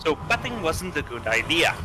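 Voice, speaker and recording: A man's voice: on the high side, very animated, normal speed, noisy background. A man reading a horror visual novel aloud on a livestream, with casual commentary in between. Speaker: livestreamer playing a horror game.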